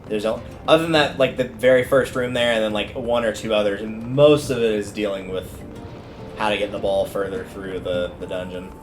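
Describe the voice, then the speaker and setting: A man with a fairly low voice gives casual gameplay commentary on a livestream. He talks colloquially, thinking out loud as he plays, and game music runs clearly behind him. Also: fairly even intonation, normal speed. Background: video game music.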